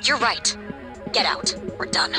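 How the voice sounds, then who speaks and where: Actress as hot-tempered crewwoman, blunt, sardonic, medium pitch, video game dialogue, prominent music. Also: expressive, measured pace, close to an everyday voice. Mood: bitter, angry. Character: blunt, bitter, hot-tempered woman, a space station crew member.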